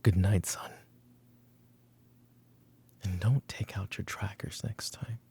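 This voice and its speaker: Over background music comes a weary, short-tempered mafia boss, acted by a man in a roleplay ASMR drama. He speaks slowly and commandingly, in a gravelly, breathy voice suited to a proud, possessive father. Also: fairly low pitch, fairly even intonation, exaggerated voice. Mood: hushed, tender. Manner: commanding, curt, slipping into hushed muttering.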